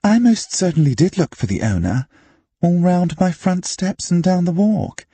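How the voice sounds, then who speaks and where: Guy voicing a posh haughty lady in an audiobook, drawly, pitched up, breathy-husky. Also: animated, normal speed, mellow, no echo, heavily acted. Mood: indignant. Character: haughty upper-class woman, languid and indignant, storybook. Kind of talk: audiobook character acting.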